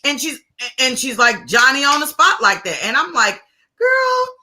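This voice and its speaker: A woman's voice: high voice, wildly swooping pitch, a little slow, bright, forceful tone. A woman co-hosting a podcast, talking casually and rambling with many restarts and repeated words. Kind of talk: casual chat.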